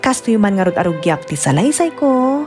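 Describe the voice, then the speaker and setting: A woman playing a letter writer near fifty, narrating her life in a measured, reflective Filipino-accented radio drama voice. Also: medium pitch, very animated, darkish tone, soft background music, close to an everyday voice.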